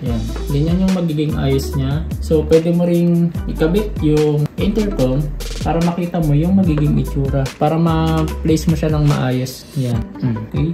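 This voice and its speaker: A man's voice: a little high, fairly even intonation, unhurried, roomy sound, music in the background. A man with a Filipino accent giving a casual step-by-step product tutorial as a vlogger, mixing in English terms. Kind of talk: casual unboxing and installation tutorial.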